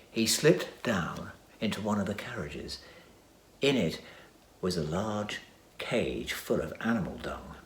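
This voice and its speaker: A man narrating a children's adventure story with dramatic reading and hushed asides. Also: fairly low pitch, slight background noise. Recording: echoey room.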